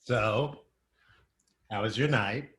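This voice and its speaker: A man acts as a friendly sidekick with a fairly low voice. The delivery is casual and teasing, in short halting bits, for an adventure drama table read. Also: a bit bright, slight background noise. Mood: teasing, amused. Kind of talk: script table-read acting.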